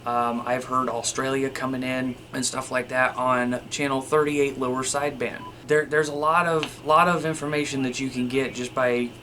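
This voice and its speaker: A man's voice: mid-range voice, flat delivery, normal speed, hardly any pauses, sharp tone. A man with a mid-range voice hosts an informal how-to video. He explains gear conversationally, addressing viewers directly. The recording carries faint background noise. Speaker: video host. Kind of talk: casual instructional talk, demonstrating radio gear.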